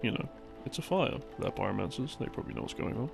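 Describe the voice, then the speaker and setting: A man telling a rambling story as a sleep aid, in a halting, musing way with pauses, in the role of a boyfriend explaining things. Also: lowish voice, huge pitch swings. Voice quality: creaky, gravelly. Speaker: audio roleplay creator playing a boyfriend. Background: background music.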